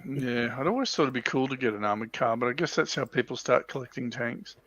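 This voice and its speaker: A man with an Australian accent talks casually and tells anecdotes in a halting way, repeating words as he goes. His voice sits at a middling pitch. The sound is close and dry but a little muffled, like an online call. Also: expressive, fairly bright tone, no echo. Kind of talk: casual chat, storytelling. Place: livestream video call. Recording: dull, lo-fi voice call audio.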